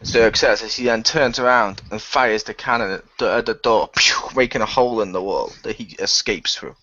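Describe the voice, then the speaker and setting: A man's voice: on the low side, normal speed, sharp tone, muffled recording. A man improvising action narration for a hobby radio play. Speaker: player narrating the villain's actions.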